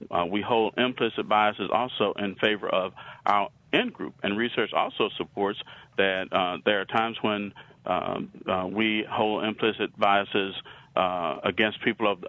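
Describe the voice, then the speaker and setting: A man from Alabama with a Southern accent, giving a slide lecture in a measured way, with many pauses and restarts. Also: fairly low pitch, a bit bright, muffled recording. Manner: measured, frequent uh fillers and restarts.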